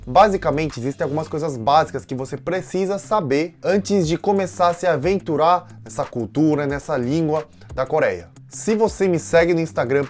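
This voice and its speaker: A man's voice: on the high side, a little mellow. A man with a Brazilian Portuguese accent, a language teacher giving a casual lesson and talking straight to his viewers in a chatty, direct way. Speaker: Korean teacher and channel owner.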